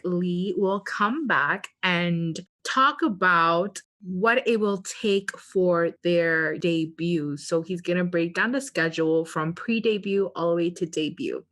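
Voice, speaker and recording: A woman's voice: lowish voice, a bit bright. A woman co-hosting a podcast, asking questions and guiding the segments. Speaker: podcast co-host.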